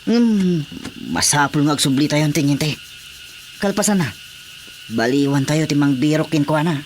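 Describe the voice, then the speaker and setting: A man's voice: on the high side, moderate pace, a little hiss or hum, lightly acted. A man playing an earnest army corporal on a search, in a radio drama.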